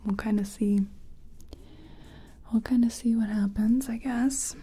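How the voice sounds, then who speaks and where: Slow, breathy whispering woman. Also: on the high side, fairly even intonation. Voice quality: breathy, mostly unvoiced whisper. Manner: gentle, hesitant, murmuring personal attention. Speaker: ASMR performer playing a makeup artist. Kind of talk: soft-spoken whispered ASMR roleplay.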